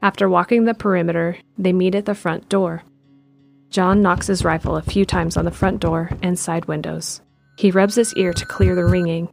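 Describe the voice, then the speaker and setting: A woman giving steady scripted narration of an audio drama in the present tense. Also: fairly low pitch, moderate pace, vocal fry, faint music behind.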